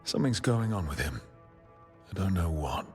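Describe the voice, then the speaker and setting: A supportive adventuring hero in a video game. His voice is very low and smooth in delivery, with soft music underneath. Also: expressive, moderate pace.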